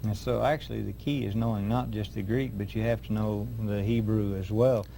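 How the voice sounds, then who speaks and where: A man hosting an interview, asking questions and telling an anecdote in a measured, deliberate way. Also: on the low side, fairly even intonation, creaky, dark tone, a little hiss or hum. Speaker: host interviewer.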